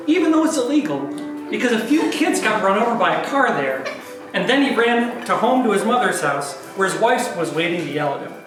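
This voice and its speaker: A woman narrating a comic dramatic reading in a theatrical way with wry asides, heard from a distance in an echoey room. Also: medium pitch. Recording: echoey room, distant mic, music behind.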